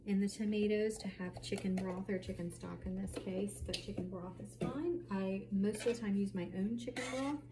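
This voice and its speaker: A woman in her thirties chatting casually to camera as she demonstrates home cooking, with explanatory asides as she works. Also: medium pitch. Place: home kitchen, indoors at night. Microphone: camera mic a short distance away. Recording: clearly noisy home kitchen recording.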